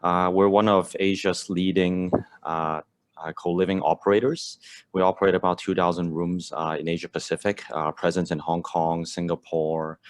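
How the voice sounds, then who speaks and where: Very low-voiced man, halting intro. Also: level tone, dry recording. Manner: hesitant, many uhs. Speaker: panelist, company founder. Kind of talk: video-call introduction. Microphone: video call.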